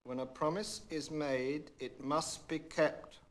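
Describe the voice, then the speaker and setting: A man playing a stern, principled, fatherly king in a children's storybook, speaking with measured authority. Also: on the high side, fairly even intonation.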